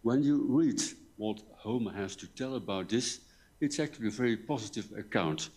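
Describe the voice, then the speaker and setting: An older man with a soft voice, giving a lecture in a careful, halting way with repeated words and pauses. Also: medium pitch, lively intonation, slightly rough. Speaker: visiting academic lecturer. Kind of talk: conference lecture in English. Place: university lecture hall. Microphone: table microphone, seated.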